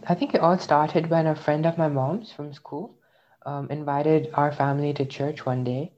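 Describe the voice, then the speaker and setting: A man's voice: on the high side, steady tone, moderate pace. Young guy from india, grew up in the uae, thoughtful reflective storytelling, kinda dull lo-fi sound. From India, raised in the UAE. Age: young adult. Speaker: interview guest, graduate student. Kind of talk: personal testimony in conversation.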